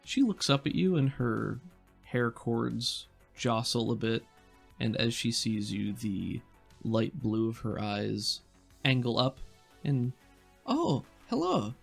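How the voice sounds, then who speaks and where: A man's voice: mid-range voice, slow pace, vocal fry, faint music behind. A man acting as game master, narrating scenes in a tabletop roleplaying game.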